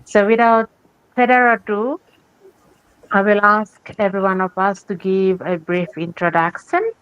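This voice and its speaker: A woman's voice: mid-range voice, fairly even intonation, a little slow, a bit bright, clear, resonant tone, no room sound, lo-fi sound. A woman from Papua New Guinea hosting a live video call, graciously welcoming guests and running introductions. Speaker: host. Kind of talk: livestream introductions.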